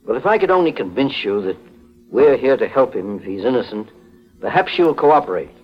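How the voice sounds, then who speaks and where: An actor playing a calm, firm middle-aged private investigator who questions formally and politely in a golden age radio mystery. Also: male voice, medium pitch, fairly quick. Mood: polite, reassuring. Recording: muffled vintage 1940s radio recording.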